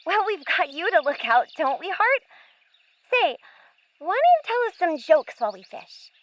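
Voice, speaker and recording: A woman's voice: very high voice, very animated, moderate pace, highs cut off. A woman voicing a plucky, protective young girl in an audio drama, earnest and eager in her delivery. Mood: cheerful.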